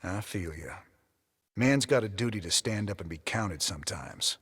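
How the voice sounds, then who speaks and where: An actor playing a weary, big-hearted middle-aged rebel commander in a video game, with an American Southern accent and a folksy, plainspoken drawl. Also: male voice, lowish voice, lively intonation, moderate pace, fairly bright tone, husky, exaggerated voice. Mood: sympathetic. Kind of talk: video game cutscene acting. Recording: long reverb, music underneath.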